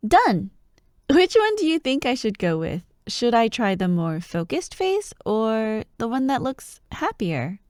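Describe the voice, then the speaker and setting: A woman playing an excitable, teasing young childhood friend who loves Halloween, in an ASMR roleplay addressed to the listener. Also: a little high, a little slow, dry recording, studio quality. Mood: excited. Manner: playful, teasing, animated, with frequent giggles and mock drama.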